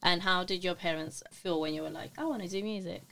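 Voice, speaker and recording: A woman's voice: fairly low pitch, fast talker, creaky, bright, forceful tone. A young adult woman hosting a podcast, chatting enthusiastically with her guest and leaning on "like" as she talks. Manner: enthusiastic, fills with "like". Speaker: podcast host. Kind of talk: casual interview chat.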